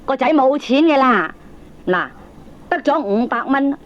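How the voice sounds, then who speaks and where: High-voiced scolding Hong Kong wife. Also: female voice, animated, unhurried. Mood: indignant. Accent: Hong Kong Cantonese. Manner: nagging, scornful, combative. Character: sharp-tongued middle-aged wife and mother, scolding, indignant. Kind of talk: 1980s Cantonese comedy radio drama acting. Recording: dull lo-fi old cassette tape.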